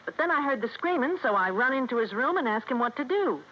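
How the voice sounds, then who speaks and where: A woman's voice: high-pitched, expressive, fast talker. A woman with an American accent playing a brassy young superintendent's wife in an old radio drama, scornful and colloquial. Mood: recounting, guarded. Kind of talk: golden-age American radio drama acting. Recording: muffled old radio recording, faint hiss.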